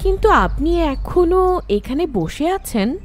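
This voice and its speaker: A young village schoolteacher in a horror cartoon, high-voiced and soft, her pitch rising and falling widely. She speaks politely, with a quietly ominous edge, over faint music. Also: very animated, a little slow. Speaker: new schoolteacher. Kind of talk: cartoon voice acting.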